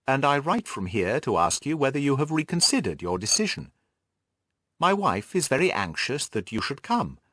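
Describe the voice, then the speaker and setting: A man voicing a polite, persuasive country gentleman's letter in a formal, courteous manner for an audiobook. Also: mid-range voice, dry recording, clean studio sound.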